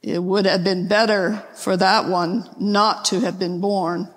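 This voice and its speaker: A woman reading the part of a grave, solemn Jesus in a Passion reading, with measured declamation. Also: mid-range voice, steady tone, natural voice. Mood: solemn foreboding. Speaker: scripture reader, church member. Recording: echoey church hall, long reverb.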